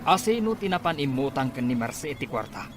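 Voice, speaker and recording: A man's voice: mid-range voice, big pitch swings, fast, noisy background, natural voice. A man playing a stern, exasperated farming father in a radio drama, scolding emphatically. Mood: angry, scolding. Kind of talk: Ilocano radio drama acting.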